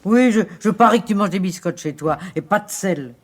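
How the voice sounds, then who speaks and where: An actress playing a fussing, reproachful sister of about sixty in a French radio play. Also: female voice, medium pitch, lively intonation, a little fast, lightly acted. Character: nagging, possessive sixty-year-old older sister.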